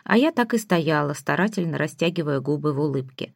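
A woman reading first-person fiction aloud as an expressive audiobook narrator. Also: low voice, brisk, vocal fry, no echo, clean studio sound.

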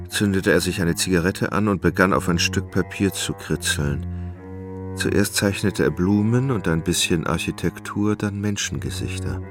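A man with a very low, creaky voice narrates a literary radio drama. He tells the story in a measured way, with faint music behind him.

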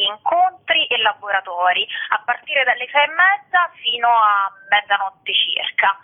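Tinny telephone audio, woman, fairly high voice, radio interview guest presenting a programme. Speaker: event organiser, interview guest.

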